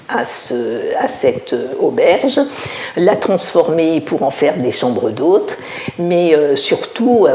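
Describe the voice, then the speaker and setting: An older woman from Alsace, a village mayor, answering interview questions in a measured, explanatory way, often pausing to find her words. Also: low voice, a little mellow. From Alsace, France. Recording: roomy echo.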